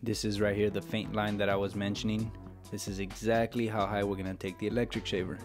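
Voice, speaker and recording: This is an American man giving a casual instructional voice-over. His voice sits on the low side and barely moves in pitch, staying flat and steady as he explains things step by step. Light guitar music plays behind him. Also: quick pace. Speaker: barber giving a tutorial. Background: background music with guitar.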